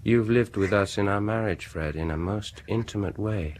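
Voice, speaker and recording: An actor playing a sinister, vengeful male dentist in a radio horror drama, gloating and deliberate, lingering on words and dropping into whispers. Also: fairly low pitch, a little mellow, close to an everyday voice. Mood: sinister, intimate.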